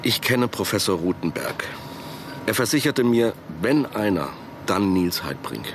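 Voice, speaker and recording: A shrewd middle-aged company boss in a radio drama. His low voice is formal and discreet, with clear ambient noise behind it. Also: sing-song, a little slow. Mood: formal, guarded. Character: shrewd, guarded corporate manager, middle-aged man. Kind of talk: German radio drama acting.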